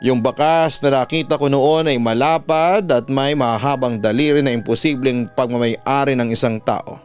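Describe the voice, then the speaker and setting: A man narrating a scripted horror story like a radio announcer, in a deliberate, suspenseful way. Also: mid-range voice, steady tone, darkish tone. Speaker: radio announcer reading listeners' horror stories. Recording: music bed under the voice. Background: background music.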